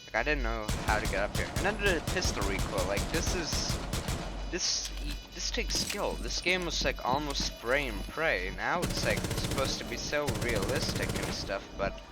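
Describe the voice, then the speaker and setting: A man's voice: mid-range voice, very animated, normal speed, loud music behind the voice. A young man giving casual, rambling commentary over gameplay, sounding a bit congested. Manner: rambling, filler-heavy, pointing at footage. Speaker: gaming commentator. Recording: game sounds and gunfire behind.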